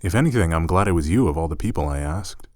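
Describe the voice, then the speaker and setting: A man acts out a young male roommate in an intimate ASMR audio roleplay, his voice deep and recorded very dry. He is easygoing and gently teasing, a little awkward, with casual phrasing and hesitant trailing asides. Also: expressive, fast, dry recording. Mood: relaxed, friendly.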